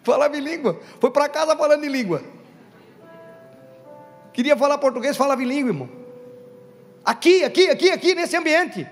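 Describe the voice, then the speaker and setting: A man preaching a Pentecostal sermon to his congregation. He talks emphatically, calls out questions to the room and builds to loud stressed bursts. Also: extremely high pitch, expressive, fairly quick, long pauses. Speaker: pastor. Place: church hall. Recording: reverberant hall with faint background music.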